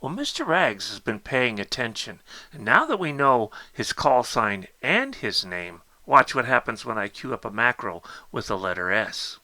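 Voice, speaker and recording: A man's voice: mid-range voice, very animated, normal speed, pushed and bright, dry recording. A man narrating a step-by-step technical tutorial, explaining things directly to the learner as an instructor. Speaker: instructor demonstrating a Morse code keyer.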